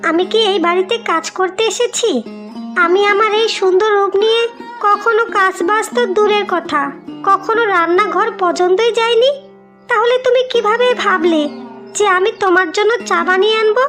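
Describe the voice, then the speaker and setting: A vain young new wife, played by a woman in a cartoon melodrama, with an extremely high voice. She is haughty and quarrelsome, scolding indignantly over background music. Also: moderate pace.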